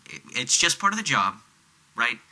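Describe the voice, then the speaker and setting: A man playing a smarmy, uneasy supervillain leaving a halting, hedging voicemail in an audio drama. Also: medium pitch, very animated, sharp tone. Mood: sheepish, insincere.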